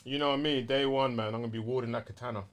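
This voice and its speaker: A man's voice: mid-range voice, steady tone, quick pace. Slangy London man chatting about games. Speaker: podcast co-host. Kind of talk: casual gaming chat.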